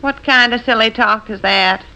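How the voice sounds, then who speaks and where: Bright-voiced, skeptical American housewife. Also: female voice, a little high, normal speed. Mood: dry, skeptical. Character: dry, skeptical middle-aged housewife and mother. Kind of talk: 1940s radio comedy acting. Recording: dull old radio broadcast recording.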